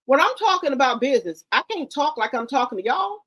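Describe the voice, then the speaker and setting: A woman's voice: high-pitched, sing-song, quick pace, fairly bright tone. A middle-aged woman hosting casual commentary, blunt and lecturing, mixing slang into her talk. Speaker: commentary host.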